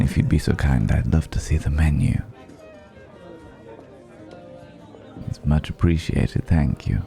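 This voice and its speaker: A man playing a suave, courteous vampire in an intimate ASMR roleplay, speaking in a refined, formal, gently amused way with lingering pauses. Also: very deep voice, vocal fry, heavily acted. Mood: charmed, gracious. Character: suave, courteous male vampire dining with a mortal guest.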